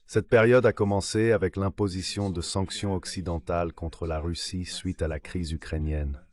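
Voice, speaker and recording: A man reading a former diplomat's interview answers as a translated voice-over, in a measured, scripted way. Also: low-pitched, steady tone, darkish tone.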